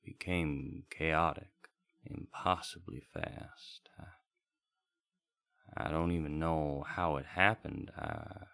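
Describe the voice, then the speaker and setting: A man's voice: rumbling low, creaky, a bit bright, heavily acted. A man playing a wistful, homesick travelling bard in a melancholy, reflective radio drama. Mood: nostalgic, melancholy, then haunted, halting. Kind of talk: sung folk ballad and in-character radio monologue.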